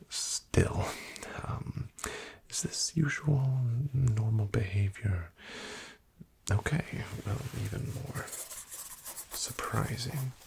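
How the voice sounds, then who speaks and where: A man whispering softly as a polite, hesitant doctor in an ASMR roleplay, with a wry bedside manner. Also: fairly low pitch. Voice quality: whispered, gravelly. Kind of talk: soft-spoken ASMR roleplay.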